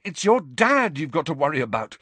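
A man's voice: a little high, very animated, fairly quick, a bit bright, husky, no room sound, voice pushed up, heavily acted. A man voicing a panicked teenage wizard boy in an audiobook, breathless and urgently insistent. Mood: frantic, insistent. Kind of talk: audiobook character acting.

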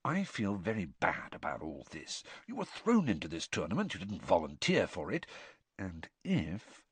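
A man's voice: mid-range voice, very animated, moderate pace, fairly bright tone, slightly rough, no room sound, pitched above the performer's own voice. A man voicing a jovial, shifty middle-aged sports official in an audiobook, falsely chummy, with a hesitant, confiding, blustering manner. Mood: low, coaxing.

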